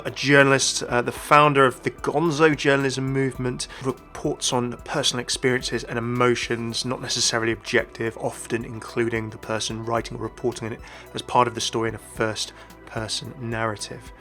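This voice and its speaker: Man, gaming video host, bright forceful voice, chatty explainer delivery, faint music behind. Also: mid-range voice. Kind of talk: scripted explainer commentary with casual asides. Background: faint background music.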